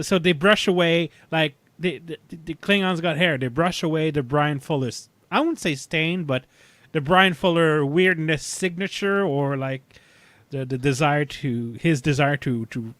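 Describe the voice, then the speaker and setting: A man in his thirties hosting a casual fan discussion, talking in a halting, rambling way as he recaps. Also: fairly high pitch, a bit bright, dry recording. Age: 30s. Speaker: podcast host.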